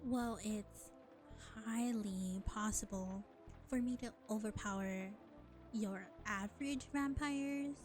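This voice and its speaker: A woman playing a world-weary, powerful vampire in a scripted audio roleplay, deliberate and condescending, speaking to the listener as a reluctant ally. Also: mid-range voice, darkish tone, natural voice. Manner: deliberate, exasperated, sighing, condescending.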